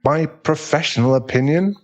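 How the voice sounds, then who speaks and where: A man playing a calm, frank professional therapist in an audio drama, speaking in a measured, formal, tactful way. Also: fairly high pitch, close to an everyday voice. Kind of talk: dramatic audio roleplay acting.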